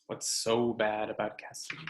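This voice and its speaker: A man reading a book aloud to children like a teacher, expressive and instructional, pausing to explain. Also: fairly low pitch, slight background noise. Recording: roomy, echoing sound.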